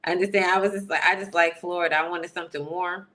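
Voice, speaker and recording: A woman's voice: on the low side, fairly even intonation, quick pace, a bit bright. A woman hosting a casual interview who talks informally and keeps encouraging her guest. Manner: encouraging, chatty, informal ("girl", "daggone"). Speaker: host.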